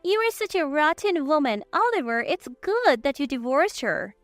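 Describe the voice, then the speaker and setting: A woman's voice: high voice, very animated, moderate pace, no echo, faint music behind, voice pushed up. A woman voicing a dramatic, demanding older mother-in-law in a narrated family story. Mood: indignant.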